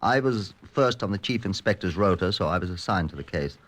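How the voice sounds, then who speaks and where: A British man playing a grim, dry police chief inspector in a measured, understated radio drama style. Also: low-pitched, animated. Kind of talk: 1950s radio drama acting and narration.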